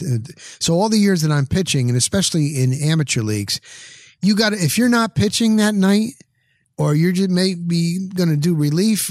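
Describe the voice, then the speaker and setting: A man's voice: a little high, darkish tone, no echo, studio quality. A middle-aged man in casual sports chat, rambling along and repeating words for emphasis. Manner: chatty, rambling, emphatic repetition. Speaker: sports broadcaster.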